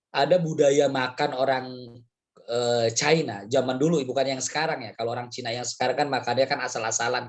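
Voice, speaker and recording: A man with a hoarse voice from a cold, giving a casual, rambling Islamic study talk with frequent filler words. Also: medium pitch. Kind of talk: casual religious lecture.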